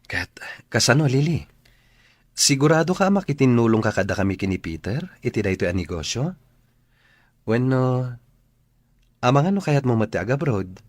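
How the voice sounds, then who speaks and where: An earnest young man in an Ilocano radio drama. He has a medium-pitched voice, an Ilocano accent and a grateful manner. The sound is dry, with no room echo. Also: very animated, moderate pace, long pauses. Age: young adult. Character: earnest, grateful young son starting a business.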